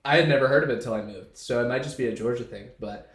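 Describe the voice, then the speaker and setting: A man's voice: mid-range voice, fast talker. A young man co-hosting a podcast, chatting in a laid-back, rambling way with a stuffed-up nose. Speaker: podcast co-host. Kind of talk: casual chat. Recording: echoey room.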